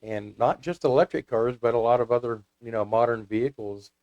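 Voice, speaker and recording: A man's voice: medium pitch, fairly quick, lo-fi sound. A man talking casually as a guest about his hobby, in a folksy, rambling storytelling way. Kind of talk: casual hobby talk with anecdotes.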